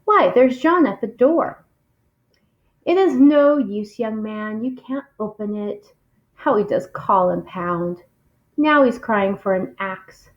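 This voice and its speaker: A woman's voice: medium pitch, animated, slow, dull audio. A woman reading aloud expressively, in the style of a literary read-along.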